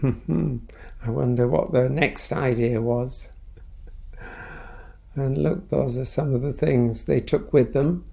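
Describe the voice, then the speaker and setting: A man reading a picture book aloud for children, with expressive, playful storytelling and little asides. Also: mid-range voice, slow pace, creaky, long pauses.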